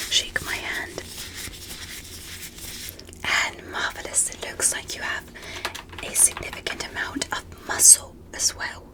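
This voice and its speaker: Casting: a woman performing a kindly, inquisitive alien physician for ASMR roleplay; whispered, breathy, careful and encouraging, with formal clinical wording. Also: close to an everyday voice, low-quality recording. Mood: gentle, curious. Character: gentle, curious alien doctor examining a human.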